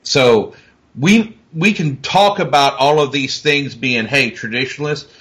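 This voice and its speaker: Man, 40s, rugby pundit on a video call, bright tone, rambling delivery. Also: medium pitch, a little slow. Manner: emphatic, rambling with many restarts. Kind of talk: casual panel chat.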